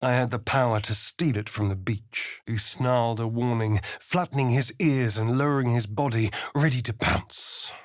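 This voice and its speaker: An actor playing a sardonic, vain vampire spawn rogue in a video game, with a theatrical, drawling British delivery. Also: male voice, mid-range voice, steady tone, moderate pace, hardly any pauses, dry recording, studio quality, natural voice. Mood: dramatic narrating. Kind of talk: video game character voice lines.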